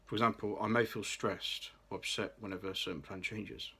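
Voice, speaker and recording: Low-voiced young man, bright tone. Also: steady tone, fairly quick, breathy edge. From Birmingham, England. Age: late 20s. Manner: careful, read-aloud delivery with occasional restarts. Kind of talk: scripted personal vlog.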